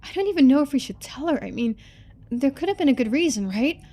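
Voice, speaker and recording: A woman's voice: high voice, lively intonation, quick pace, mellow, faint music behind, pitched above the performer's own voice. Woman playing a chatty, earnest young woman. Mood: worried.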